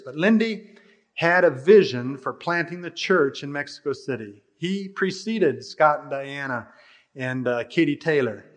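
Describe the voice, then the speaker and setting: Older American pastor, higher-pitched male voice. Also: a bit bright. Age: older, about 60. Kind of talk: expository sermon with scripture readings.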